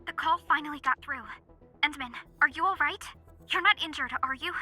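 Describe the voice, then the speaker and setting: A woman voicing a caring, anxious young female comrade in a video game, eager and quick to worry. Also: high-pitched, sharp tone, soft background music. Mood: worried, urgent.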